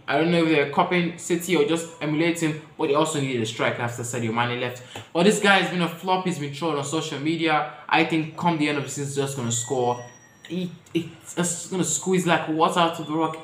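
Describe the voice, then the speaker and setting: A man chats through his opinions in a casual vlog and talks straight to his viewers. His voice sits a little higher than most men's. The sound bounces around an echoey room. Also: brisk. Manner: chatty, addresses viewers directly, shifts pitch and loudness often. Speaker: football vlogger.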